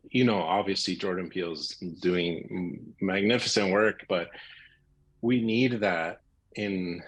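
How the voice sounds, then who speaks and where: Man, American accent, very low pitch, casual interview talk, film director guest. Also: a bit bright, rough recording. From Miami, United States.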